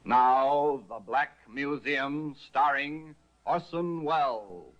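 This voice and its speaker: A man announcing a 1950s radio drama in a formal, brisk manner, from a dull old restored broadcast. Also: mid-range voice, a little hiss or hum.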